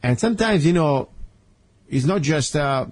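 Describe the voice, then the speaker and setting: A man's voice: on the high side, animated, unhurried, a little mellow. A man from Italy with an Italian accent, hosting a talk radio show in an earnest, rambling monologue full of fillers and restarts. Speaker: radio host. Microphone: studio microphone.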